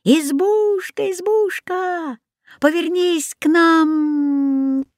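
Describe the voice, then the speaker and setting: A woman voicing an eager, boastful little boy in a children's story, excitable and quick to call out. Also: high voice, no room sound, studio quality, pitched above the performer's own voice. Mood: excited, calling out. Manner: excitable, calls out loudly.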